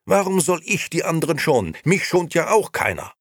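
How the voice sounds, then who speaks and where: A man's voice: fairly low pitch, animated, a little fast, fairly bright tone, no room sound, studio quality, pitched above the performer's own voice. A man voicing a nervous, irritable, ambitious male innkeeper who justifies himself defensively, in an audiobook. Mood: heated. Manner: agitated, defensive, self-justifying. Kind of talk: audiobook character voice.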